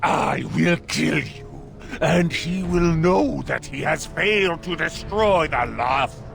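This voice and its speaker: A man voicing a fanatical, vengeful monster in a video game, with a gravelly voice and threatening, declamatory delivery. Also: a little high, takes it slowly, a bit bright, soft background music, full character voice. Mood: menacing, wrathful. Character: menacing fanatical monster, vengeful servant of a dark mother.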